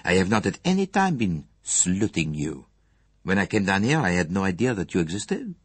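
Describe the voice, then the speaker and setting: A courteous foreign detective, acted out by a male audiobook reader, formal and gently probing. His phrasing is precise and measured, his pitch swings widely, and the voice is a little rough-edged in a very dry recording. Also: very animated, no echo, full character voice. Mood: calm, probing.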